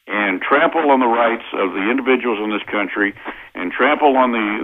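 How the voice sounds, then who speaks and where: An American man giving halting, opinionated explanations as an interview guest over the telephone. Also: fairly low pitch. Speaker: interview guest, constitutional lawyer. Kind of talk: interview answers, explanatory political talk. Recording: tinny narrow-band phone line.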